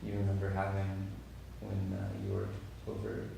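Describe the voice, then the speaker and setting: A man hosting an interview, asking calm, brief questions about the guest's life. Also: deep voice, moderate pace, gravelly, heavy reverb, far from the mic, noisy background. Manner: calm, brief prompts and reactions. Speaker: interviewer, host. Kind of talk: casual interview.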